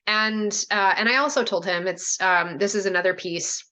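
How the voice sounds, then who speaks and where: A woman in a shaman and spiritual mentor role, teaching a group over a video call in a casual, animated way, with many "like" and "right?" asides. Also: mid-range voice, normal speed.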